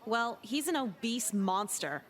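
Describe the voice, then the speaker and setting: A woman voicing a jaded, sarcastic teenage girl in a visual novel game, deadpan and caustic. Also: on the high side, a little slow. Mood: weary, wry. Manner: deadpan, caustic, foul-mouthed.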